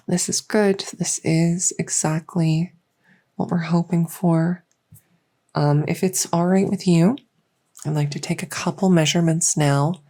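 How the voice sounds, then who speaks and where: A woman playing a small, encouraging insect-folk clinician in an ASMR medical roleplay, soft-spoken, hesitant and matter-of-fact. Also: on the low side, vocal fry, heavily acted.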